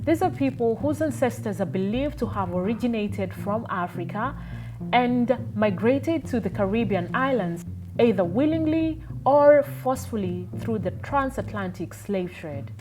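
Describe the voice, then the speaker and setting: A woman host reading a scripted introduction in an even, informative way. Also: on the high side. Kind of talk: scripted narration.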